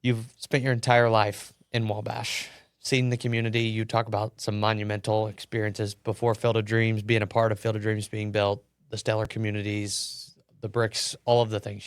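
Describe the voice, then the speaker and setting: Male podcast host, low gravelly monotone, methodical interviewer. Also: normal speed, dry recording, clean studio sound. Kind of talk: interview questions.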